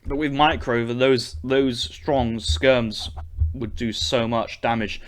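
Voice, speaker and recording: A man's voice: mid-range voice, fairly even intonation, slow pace. A young man casting a strategy game tournament, breaking down units and matchups as he thinks aloud. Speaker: esports caster.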